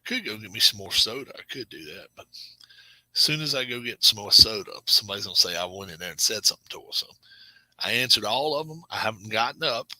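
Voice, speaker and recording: Rambling, chatty man with a low, bright voice. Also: lively intonation, fast, dry recording, rough recording. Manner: rambling, self-correcting, chatty with viewers; drops to a low murmur at times. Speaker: channel host. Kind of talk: casual live-stream chat.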